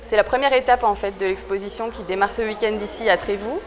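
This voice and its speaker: A woman's voice: medium pitch, fast talker. A woman of thirty explaining things in a casual interview, with frequent hesitations. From Besançon region, eastern France. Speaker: exhibition creator being interviewed. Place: indoor exhibition hall. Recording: busy crowd noise behind.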